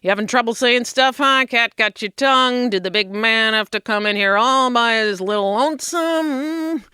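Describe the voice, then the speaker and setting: A woman acting as a blunt pharmacy clerk in a sketch comedy. Her voice is clean and smooth, recorded close and dry. She teases and fires off prying questions. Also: fairly high pitch, pushed and bright, no room sound. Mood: mocking. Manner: mocking, rapid-fire questioning. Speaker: sketch actor.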